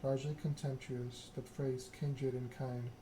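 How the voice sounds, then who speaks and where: Soft-spoken man with little pitch movement, noisy background. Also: level tone, measured pace. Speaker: game streamer, host. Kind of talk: soft-spoken ASMR reading and stream chat.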